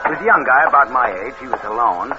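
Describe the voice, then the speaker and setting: An actor playing a scheming young drifter, sly and lazy, in a mid-century radio crime drama. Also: male voice, medium pitch, expressive, fast talker, background noise, natural voice. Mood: sly narrating. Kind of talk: 1950s radio crime drama, first-person narration. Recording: muffled old radio recording.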